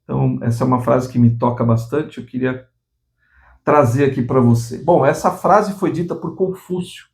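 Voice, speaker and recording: An older man in his sixties speaks earnestly to his viewers, giving a motivational talk on his own channel. His voice sits at an ordinary pitch with a gravelly, creaky edge. He often restarts phrases and checks in with small tag questions. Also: moderate pace, darkish tone. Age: 60s. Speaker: channel host.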